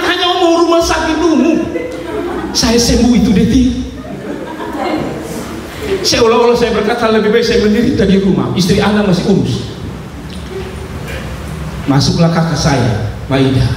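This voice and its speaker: High-voiced pastor in an echoey hall. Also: male voice, distant mic, a little hiss or hum. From Indonesia. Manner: animated storytelling, acting out dialogue, swings between shouts and low asides. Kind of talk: sermon with personal testimony.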